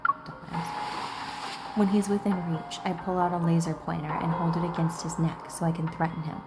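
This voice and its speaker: Woman game streamer, lowish voice, irreverent read-aloud and commentary over prominent music. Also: moderate pace, poor audio. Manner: irreverent, salty, expressive. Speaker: gaming video host. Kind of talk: reading game dialogue aloud with casual commentary.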